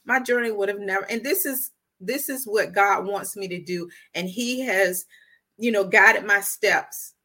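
A woman's voice: mid-range voice, measured pace, sharp tone. A woman guest on an interview podcast, talking earnestly and animatedly about her life and work as a storyteller. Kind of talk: casual interview talk.

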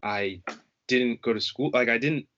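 A young man raised in the United States speaks in a relaxed conversation, pausing and reaching for words with frequent "like"s. His voice sits at an ordinary pitch. The recording sounds somewhat muffled. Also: a little hiss or hum. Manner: thoughtful, halting, frequent likes. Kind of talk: casual conversation.

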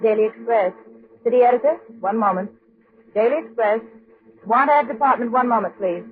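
A woman acting in a 1940s radio play as a busy newspaper switchboard operator, clipped, businesslike and briskly polite. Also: on the high side, natural voice. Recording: noisy, tinny 1940s radio recording.

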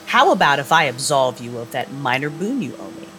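An actress playing a calm, calculating vampire woman who gives orders in a deliberate, businesslike way in an audio drama. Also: very deep voice, music in the background. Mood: coolly persuasive.

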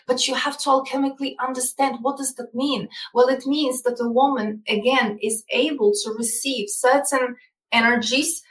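A woman's voice: sits high, steady tone, normal speed, reverberant, recorded from a distance, poor audio. A woman with a Polish accent, talking as a guest in an interview, explaining earnestly and often repeating words. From Poland. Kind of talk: casual interview talk.

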